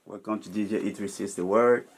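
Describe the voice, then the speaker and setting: Male show host, very deep voice, halting, restart-prone questions. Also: steady tone, gravelly, slight background noise. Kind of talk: casual interview host.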